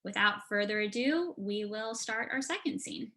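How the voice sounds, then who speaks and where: A woman's voice: mid-range voice, expressive, moderate pace, a bit bright. A young woman hosting an evening of staged readings on a video call, introducing the next scene informally. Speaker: host of a staged reading night.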